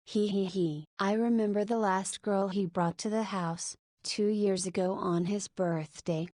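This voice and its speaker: A woman in her forties voicing an anxious, possessive single mother in a narrated visual novel, speaking her thoughts in a plain, even way. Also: medium pitch, no echo, studio quality, close to an everyday voice. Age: 40s. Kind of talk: scripted visual novel voiceover.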